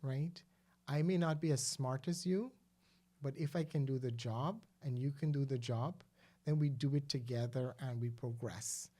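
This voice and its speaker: Man, mellow, relaxed tone, earnest, halting, co-host. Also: mid-range voice. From Guyana. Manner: earnest, thoughtful, with frequent hesitations and restarts. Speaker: podcast co-host. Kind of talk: casual conversational discussion.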